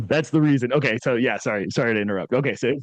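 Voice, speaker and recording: A man's voice: medium pitch, no echo. A man hosting a podcast interview, chatty and upbeat, often rephrasing his questions. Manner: upbeat, chatty, laughs easily, trails into rephrasing. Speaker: podcast host. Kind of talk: casual interview chat.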